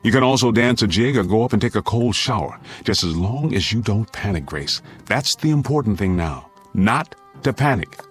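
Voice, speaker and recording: An American man playing an anxious but steady father in a radio drama, speaking in a firm, earnest, commanding way. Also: fairly low pitch, normal speed, hardly any pauses, slightly rough, soft background music. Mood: controlled urgency. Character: anxious but steady father preparing family for nuclear attack.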